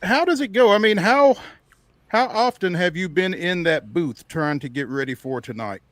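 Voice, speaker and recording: A man's voice: high voice, lively intonation, normal speed, a bit bright, no room sound. A man with an American accent hosting a sports talk show and interviewing a guest casually. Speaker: sports radio host.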